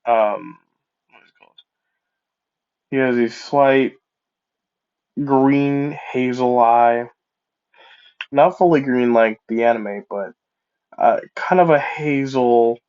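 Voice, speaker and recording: A man with a stuffed-up cold narrates a fan story slowly, wandering off into asides and correcting himself as he goes. His voice sits at an ordinary pitch. It comes through a dull, lo-fi mic. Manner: rambling, self-correcting, casual asides. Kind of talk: improvised fan-fiction storytelling.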